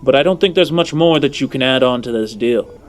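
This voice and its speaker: A man's voice: medium pitch, fairly quick, soft background music, natural voice. A man playing a sardonic, hard-bargaining mercenary companion in a tabletop game. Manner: sardonic, businesslike.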